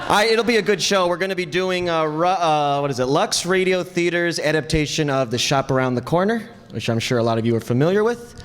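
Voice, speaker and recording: A man's voice: a little high, fairly quick, a bit bright. A man giving a casual, joking live announcement as director of an amateur theater group. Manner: informal, joking. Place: town hall stage, before an audience. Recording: echoey town hall live recording.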